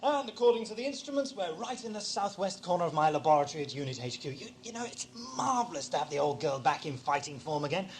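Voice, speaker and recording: A man playing an excitable, whimsical British time traveller. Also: a little high, fast, hardly any pauses, a little hiss or hum. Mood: jaunty, whimsical. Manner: playful, musing, hesitant, quaintly polite British phrasing. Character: eccentric time-travelling alien Doctor, whimsical, absent-minded, excitable man. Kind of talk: amateur audio drama acting, 1980s. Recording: 1980s audio drama with music behind.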